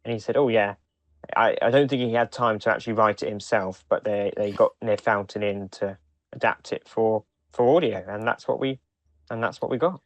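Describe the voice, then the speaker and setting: A man co-hosting a podcast in casual discussion, speaking in a halting way with frequent restarts. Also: on the low side, steady tone, no room sound. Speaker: podcast co-host.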